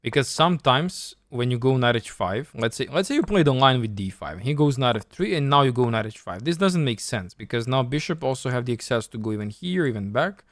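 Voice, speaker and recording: A man's voice: mid-range voice, dry recording. A man from Serbia with a Serbian accent, thinking aloud and explaining step by step as he coaches on a live chess stream.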